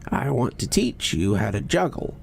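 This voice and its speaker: Sinister little horror-story creature, acted by a male narrator: coaxing, insistent, falsely friendly, with lively pitch swings. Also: normal speed, gravelly, slight background noise.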